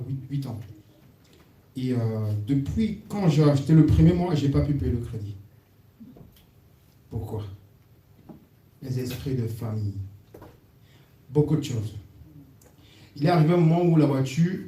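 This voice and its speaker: Dark-voiced man testifying in church. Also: mid-range voice. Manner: earnest, animated storytelling. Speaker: congregant giving testimony. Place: church hall. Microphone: distant room mic. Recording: echoey hall from far off, faint hiss.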